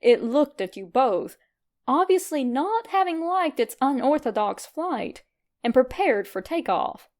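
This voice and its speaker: A woman reading fan fiction aloud as an expressive, scripted story narration. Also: high voice, unhurried. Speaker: fan fiction podfic reader.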